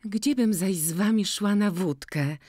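A reader voicing a lively, bold young village girl in a slowly read audiobook. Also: medium pitch, dry recording, studio quality, voice pushed up, close to an everyday voice. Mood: eager. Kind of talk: audiobook character voice.

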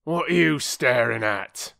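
A man voicing a cross, burly middle-aged bargeman who growls in rough working-class speech. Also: medium pitch, big pitch swings, measured pace, fairly bright tone, no echo, studio quality, pitched above the performer's own voice, full character voice. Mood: gruff. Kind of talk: audiobook character voice.

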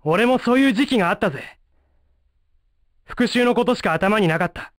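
An actor playing a brash, cocky young male fighter in a Japanese fighting game, speaking in rough, breezy slang. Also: high-pitched, lively intonation, moderate pace, dry recording, clean studio sound. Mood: world-weary, frank.

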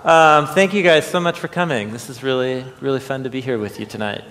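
A man giving casual live announcements from a stage as host, in an informal, halting, chatty manner. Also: medium pitch, fairly bright tone. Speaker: host and presenter. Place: theatre-style church sanctuary stage, indoors. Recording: echoey hall, stage event.

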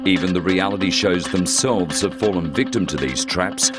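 Male TV reporter, Australian accent, low voice, scripted news narration, loud music bed. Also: moderate pace.

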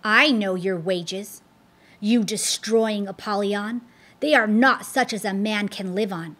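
A woman's voice: mid-range voice, slow, a bit bright. A woman reading aloud, voicing a humble but defiant young male pilgrim who speaks earnestly and firmly. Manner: earnest, firm, pious. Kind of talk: storybook read-aloud.

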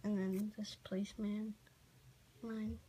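A child giving a casual, halting show-and-tell narration while filming on a handheld phone in a room at home. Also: medium pitch, little pitch movement, warm tone. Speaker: amateur toy video maker. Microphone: handheld phone behind the camera. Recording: noisy handheld home recording with clicks.

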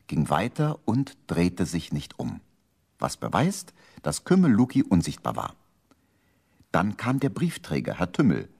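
A male narrator with a low voice tells a seventies children's radio tale. His delivery is theatrical and emphatic, and his pitch moves in a lively, wide range. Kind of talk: scripted storytelling for a 1970s children's radio play.

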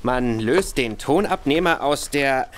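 Man acting a slurring, swooping drunken young gentleman. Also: mid-range voice, animated, a little slow, a little hiss or hum, close to an everyday voice. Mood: flustered, fearful. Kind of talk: audio drama acting.

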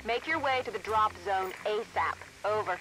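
A woman's voice: mid-range voice, normal speed. A woman playing a dry, authoritative military commander with clipped delivery over a radio in a video game. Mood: businesslike. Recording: radio noise, vehicle ambience behind.

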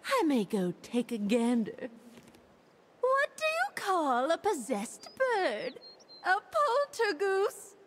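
A woman's voice: very high voice, huge pitch swings, takes it slowly, slight background noise, full character voice. A woman voicing a cutesy, playful young goose-themed gorgon for a video game, punning in a sing-song, cheery manner. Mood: teasing, sly. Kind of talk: video game character voice lines.

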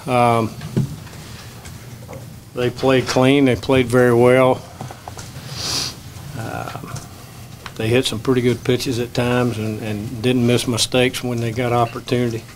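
An older man, a baseball head coach, answering questions at a postgame press conference in a plain, measured way at a table microphone. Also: medium pitch, little pitch movement, a little hiss or hum, low-quality recording. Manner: measured, plain-spoken, many restarts. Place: press room. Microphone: table mic.

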